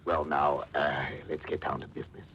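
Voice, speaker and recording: An actor playing a florid, courteous and menacing blackmailer with pompous, theatrical diction in a 1940s radio mystery. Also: male voice, very deep voice, flat delivery, normal speed, fairly bright tone, breathy edge, heavily acted. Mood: suave menace. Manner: pompous, elaborately polite, theatrical. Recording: dull 1940s radio recording.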